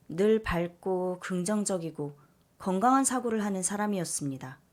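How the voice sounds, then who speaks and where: A woman narrating a listener's letter in first person, soft and confiding. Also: lowish voice. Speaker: story reader.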